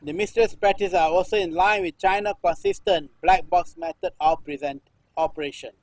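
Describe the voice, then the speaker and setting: A man in his sixties from Singapore, a performance artist reading news text aloud in halting runs, restarting phrases and repeating a refrain. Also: sits high, steady tone, no room sound, low-quality recording. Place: temple-like cage at an art festival.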